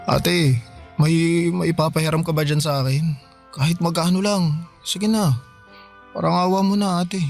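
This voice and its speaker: An actor playing a hard-up, stubborn young brother in a Filipino radio drama, pleading and defensive in casual everyday speech. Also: male voice, fairly high pitch, steady tone, normal speed, gravelly, pauses often. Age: young adult. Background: music bed.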